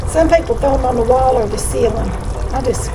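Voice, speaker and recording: A woman's voice: high-pitched, fairly quick. A woman with a Southern US accent chatting in a folksy, repetitive way as she cooks for viewers. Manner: folksy, chatty, repeats herself. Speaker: home cooking vlog host. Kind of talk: casual chat while cooking. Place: home kitchen. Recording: loud kitchen background noise.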